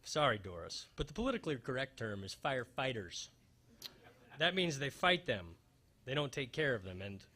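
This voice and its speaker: A man's voice: medium pitch, sing-song, moderate pace, bright, forceful tone. A man playing a wisecracking, hapless fire investigator in a noir radio spoof, with wry, hard-boiled storytelling. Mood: confident, wry. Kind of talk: old-time radio detective spoof, staged reading.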